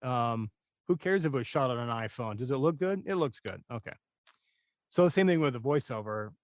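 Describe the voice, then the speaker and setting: A man's voice: mid-range voice, animated, brisk, no echo, clean studio sound. A man talking casually as a guest voice-over coach, candid and self-deprecating as he shares anecdotes. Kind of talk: casual conversational interview talk.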